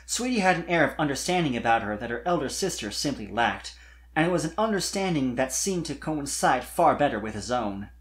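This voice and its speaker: A narrator reading fan fiction aloud as expressive, scripted storytelling. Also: medium pitch, fairly quick.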